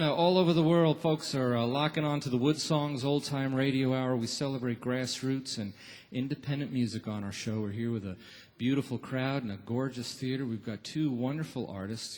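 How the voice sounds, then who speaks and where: An American man hosting a music radio show live on a theater stage, speaking earnestly and a little haltingly. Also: medium pitch, steady tone, some chatter behind. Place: large theater stage, indoors. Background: guitar music.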